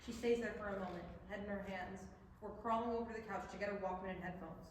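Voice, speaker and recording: A woman's voice: mid-range voice, steady tone, fast. A woman reading stage directions as scripted narration at a staged play reading. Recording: very echoey hall, distant mic, slight noise.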